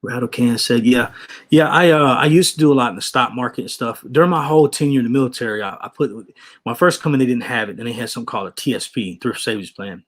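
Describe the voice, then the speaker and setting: A man's voice: medium pitch, fast. A man in his forties chatting casually with viewers, rambling, repeating himself and dropping "man" and "you know". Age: 40s. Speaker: vlogger reading viewer comments. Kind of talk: casual livestream chat.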